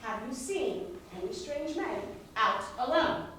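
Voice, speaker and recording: A woman playing a brisk, commanding town police commissioner in a stage comedy, authoritative and pointed. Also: high voice, expressive, a bit bright, recorded from a distance. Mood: stern, threatening. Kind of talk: musical comedy stage acting. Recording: echoey hall recorded from afar, noisy.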